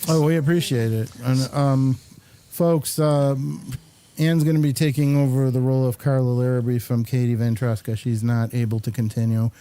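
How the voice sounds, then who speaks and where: A man hosting a casual interview, speaking haltingly and often chuckling as he goes. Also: medium pitch, fairly even intonation, dark tone, clean tone. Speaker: show host and film maker. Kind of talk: casual studio interview chat.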